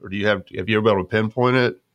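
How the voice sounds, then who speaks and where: An American man hosting a podcast, talking in a casual, informal, folksy way as in a relaxed conversational interview. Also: on the low side, racing, dry recording, lo-fi sound. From United States. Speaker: podcast host.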